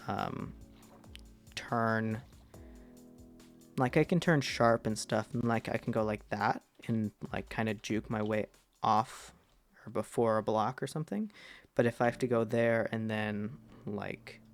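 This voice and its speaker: A young male streamer with a deep, gravelly voice talks in an unhurried ramble. He trails off with fillers and pokes fun at himself. Soft music plays faintly behind him. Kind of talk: casual gameplay commentary to chat.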